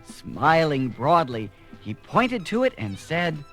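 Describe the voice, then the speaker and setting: A man's voice: fairly high pitch, huge pitch swings, slow pace, a bit bright, soft background music. A man narrating a scripted children's story.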